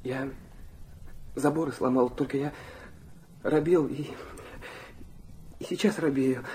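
An actor playing an impulsive, awkward teenage youth in a classic audio drama, with earnest, halting declarations. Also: male voice, medium pitch, steady tone, darkish tone, a little hiss or hum, close to an everyday voice. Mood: shy, intense.

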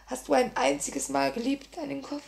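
A young woman revolutionary with a fairly high voice and a marked creak in it. She speaks with solemn, theatrical passion, torn between grief and resolve, in the manner of 1950s German radio drama. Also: flat delivery, moderate pace, darkish tone. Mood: weary, determined. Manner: theatrical, solemn, impassioned delivery.

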